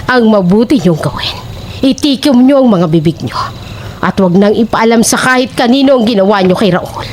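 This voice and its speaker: A woman's voice: fairly high pitch, animated, normal speed, a little mellow, a little hiss or hum, natural voice. A woman playing a stern, commanding older woman with a menacing, emphatic manner in a Filipino horror audio drama. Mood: stern, warning.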